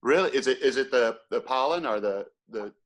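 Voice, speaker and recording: A man's voice: mid-range voice, expressive, brisk, a bit bright. A man from Georgia with a Southern American accent, hosting a casual interview in an easygoing, admiring, chatty way. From Georgia, USA. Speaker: host.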